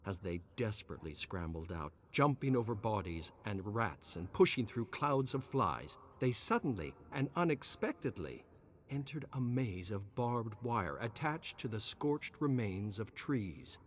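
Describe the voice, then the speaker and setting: A man with a fairly low voice delivers scripted story narration. His pitch moves widely and theatrically, building suspense through the phrases. A light bed of ambient noise sits behind him. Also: very animated, unhurried.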